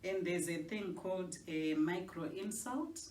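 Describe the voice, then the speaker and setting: Older woman counsellor from Zimbabwe, low and measured, roomy studio with a distant mic. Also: slight background noise. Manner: measured, earnest, many soft "mm" affirmations. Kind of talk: interview guest, explaining calmly. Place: radio studio.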